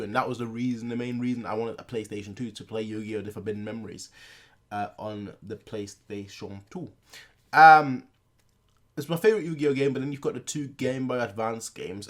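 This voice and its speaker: A young man hosting a reaction video, talking in a loose, rambling way with lots of filler words. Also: on the low side. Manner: rambling, heavy use of filler words and restarts. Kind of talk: casual rambling chat.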